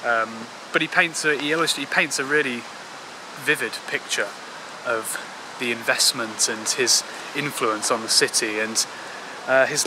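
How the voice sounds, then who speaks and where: A man in his thirties giving a casual, halting walking commentary as a travel vlogger, speaking outdoors into a handheld camera. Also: mid-range voice. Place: outdoors in a park and old town streets. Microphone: handheld camera at arm's length. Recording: clear outdoor ambient noise.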